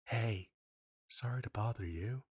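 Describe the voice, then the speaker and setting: A man's voice: fairly low pitch, a little slow, breathy edge, dry recording, clean studio sound. A man who makes audio content, speaking casually and directly to his listeners. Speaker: channel creator.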